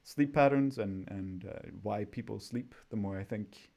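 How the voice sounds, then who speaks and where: A man from Sweden hosting a casual interview over a remote call, talking in a relaxed, rambling way with frequent restarts. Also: on the low side, measured pace, vocal fry. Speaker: podcast host. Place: home.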